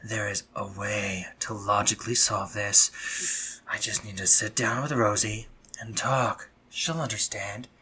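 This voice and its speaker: A man playing an anxious, awkwardly tender spider-monster medic in a scripted romantic audio roleplay, speaking formally and haltingly with a breathy, slightly husky voice. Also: fairly low pitch, steady tone, bright, forceful tone, heavily acted. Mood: tense, self-scolding. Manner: formal, stammering, halting when flustered.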